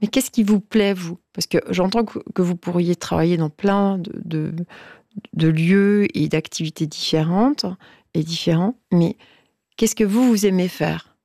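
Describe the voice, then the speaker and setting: A woman hosting a radio interview, asking encouraging, gently probing questions. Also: lowish voice, unhurried, no room sound, studio quality. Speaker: radio host.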